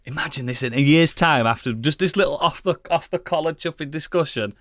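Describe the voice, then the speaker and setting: A man's voice: on the high side, brisk, fairly bright tone, no room sound, studio quality. A man hosting a casual podcast, bantering in a crude, teasing, joking way. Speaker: podcast host.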